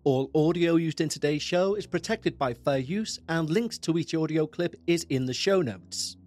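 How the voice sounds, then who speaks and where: A man with a Liverpool accent hosting a podcast, reading true-crime narration in a measured, dramatic way. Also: fairly high pitch, a little mellow, faint music behind. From England. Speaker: podcast host.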